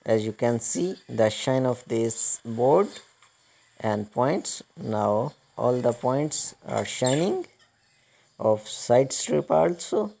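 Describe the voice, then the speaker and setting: A man giving step-by-step hands-on repair instructions in a halting, repetitive way. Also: medium pitch, animated, vocal fry, dark tone, a little hiss or hum. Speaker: repair tutorial presenter.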